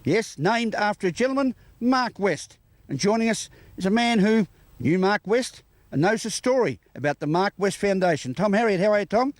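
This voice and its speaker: A man's voice: high voice, animated, moderate pace, dry recording. An Australian man presenting a local TV news interview, with formal, courteous phrasing.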